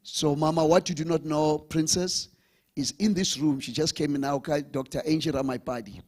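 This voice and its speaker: A man's voice: on the high side, darkish tone. A man hosting a live event, rallying the audience and switching languages. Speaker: event host. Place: conference hall with audience.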